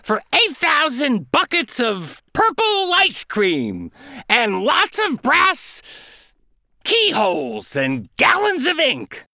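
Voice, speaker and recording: A greedy young duck from a storybook, squealing out ever bigger wishes in a sharp, high voice that leaps all over the place, as a man reads him. He boasts and shrieks with excitement. The sound is close and dry, with no room around it. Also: slow pace, no echo, heavily acted. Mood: frantic bragging. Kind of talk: storybook reading.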